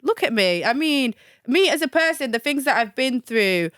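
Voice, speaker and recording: Chatty woman, smooth voice. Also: high voice, normal speed, no room sound, studio quality. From Manchester, England. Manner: animated, chatty, hesitant with fillers. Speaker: guest. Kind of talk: casual podcast interview.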